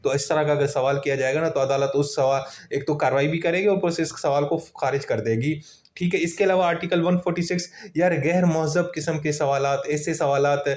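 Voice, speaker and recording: A man's voice: on the high side, a little mellow. A man with a Pakistani accent giving an informal, explanatory law lesson, chatting to students and mixing in English terms. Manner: conversational, addresses listeners casually, mixes in English terms. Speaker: law lecturer.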